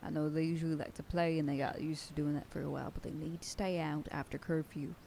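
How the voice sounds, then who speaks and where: A woman's voice: low voice, little pitch movement, fast talker, gravelly, dark tone, slight background noise. A woman voicing a bossy female werewolf sheriff who lectures her pack in an ASMR roleplay, sometimes dropping to a whisper. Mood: stern briefing. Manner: stern, commanding, lectures a group. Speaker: voice actor.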